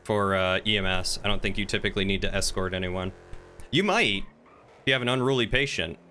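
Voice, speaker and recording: A man's voice: lowish voice, brisk, sharp tone. A man chatting casually and helpfully while playing an online roleplay game, with an easygoing manner. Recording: faint background noise.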